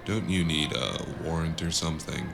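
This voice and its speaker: An actor playing a gruff, weary cyberpunk investigator, narrating his own story in a deadpan, noir-like audio drama style with a gravelly voice. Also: very deep voice, normal speed. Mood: wary, annoyed. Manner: deadpan, profane. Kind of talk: noir-like scripted narration and dialogue. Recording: music behind the voice.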